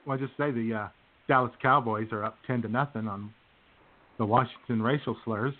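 A man's voice: mid-range voice, steady tone, a little fast. A man co-hosting a radio show with dry, wry casual sports banter. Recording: dull lo-fi audio.

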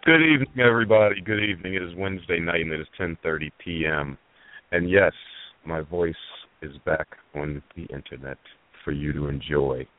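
Low-voiced guy hosting internet radio, jokey teasing, thin phone-line sound. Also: a little fast, no room sound. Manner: joking, playful teasing. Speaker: radio show host. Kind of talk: casual radio banter.

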